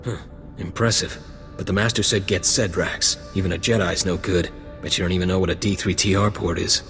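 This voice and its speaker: An actor playing a gruff, blunt gang lieutenant recruiting for a criminal crew in a video game. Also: male voice, deep voice, fairly even intonation, fairly quick, fairly bright tone, long reverb tail, faint music behind. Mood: testing, gruff. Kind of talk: video game dialogue acting.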